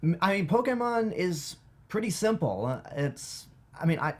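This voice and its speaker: A man's voice: sits high, very animated, moderate pace. A man on a review panel, talking casually and explaining his views with hesitant, restarted phrases.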